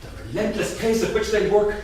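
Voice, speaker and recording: A man's voice: sits high, steady tone, fairly quick, a little mellow, lightly acted. An actor in a staged play reading, playing a domineering, volatile husband and businessman with an emphatic delivery. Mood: proud, jovial. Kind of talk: staged reading, theatrical dialogue. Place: indoor theatre at a staged reading. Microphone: distant room microphone. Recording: distant, very echoey theatre room, faint noise.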